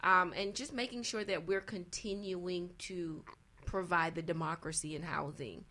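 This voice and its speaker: A woman's voice: fairly low pitch, steady tone, normal speed, bright, forceful tone. A woman panelist talking conversationally on a talk show.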